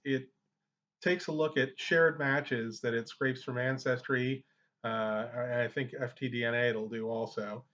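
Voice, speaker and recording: A man's voice: medium pitch, a bit bright. Man giving a tutorial, dull lo-fi sound. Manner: conversational, explanatory. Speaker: genealogy tutorial host. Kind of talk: informal screen-share tutorial.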